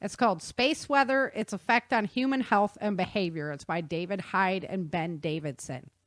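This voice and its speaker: A woman's voice: medium pitch, moderate pace, no echo, clean studio sound. A woman hosting a podcast, explaining things casually in an informal, nerdy-enthusiast way. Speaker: podcast host.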